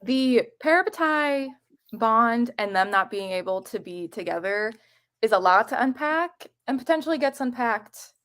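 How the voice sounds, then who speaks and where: Female co-host, somewhat high voice, informal and tentative as she reasons through a book discussion. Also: animated, moderate pace, a bit bright. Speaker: co-host of a book readalong live show. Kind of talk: casual book chat.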